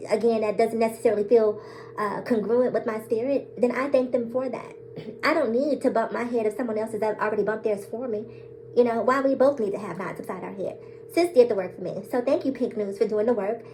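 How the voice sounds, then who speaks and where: A woman's voice: a little high, fairly even intonation, a little fast, a little mellow, slight background noise, rough recording. A woman vlogger talking straight to her viewers in a bold, sassy way, laughing often and calling them girl, sis and baby. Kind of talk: casual to-camera monologue.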